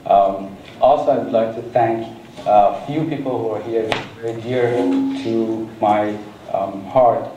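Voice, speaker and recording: A man giving a hesitant acceptance speech with many pauses and a flat, monotone delivery. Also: fairly low pitch, muffled recording. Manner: hesitant, many ums, self-described monotone. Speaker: award recipient. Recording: echoey hall, distant mic, background noise.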